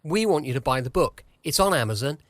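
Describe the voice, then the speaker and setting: A man's voice: fairly high pitch, expressive, fast, dry recording. A British man hosting a podcast in a casual, chatty style, rambling into jokey asides. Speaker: podcast host. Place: home studio.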